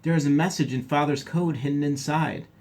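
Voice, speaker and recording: A man's voice: on the high side, brisk, a little mellow, slight background noise, pitched above the performer's own voice, lightly acted. A man voicing an earnest, polite young patriot boy in a colonial storybook.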